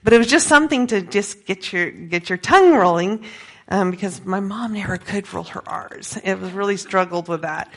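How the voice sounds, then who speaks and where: Middle-aged American woman, halting informal speaker. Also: medium pitch. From grew up in Peru as an American missionary kid. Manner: conversational, halting, self-correcting. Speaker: missionary speaker giving a presentation. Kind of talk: informal talk to a group.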